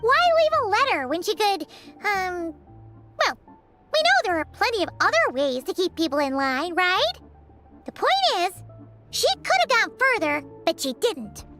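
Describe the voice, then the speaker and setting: A tiny fairy sidekick from a video game, with a very high, squeaky childlike voice. She is chatty, earnest and kindhearted, swinging about in pitch. Loud music plays behind her. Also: big pitch swings, normal speed. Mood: pondering. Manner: speaks of herself in the third person, earnest.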